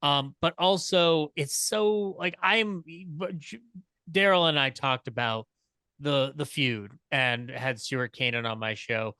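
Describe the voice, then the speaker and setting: A man with a bright, smooth and crisp voice speaks as a panelist in a dry, close sound. He is earnest and analytical, explaining details in a casual enthusiast's way. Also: on the high side, slow pace, no echo. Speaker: panelist and podcaster. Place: online video call panel.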